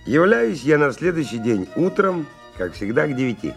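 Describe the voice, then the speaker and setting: An actor playing a hard-bitten, world-weary stalker who narrates a radio drama in a wry, colloquial, husky voice. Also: male voice, on the high side, sing-song, normal speed, soft background music. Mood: wry, sardonic. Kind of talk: 1990 Russian radio drama, first-person narration.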